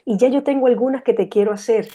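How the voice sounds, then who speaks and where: A woman's voice: a little high, quick pace, dark tone. A woman moderating a webinar, giving a semi-formal introduction in a hesitant way, repeating words as she goes.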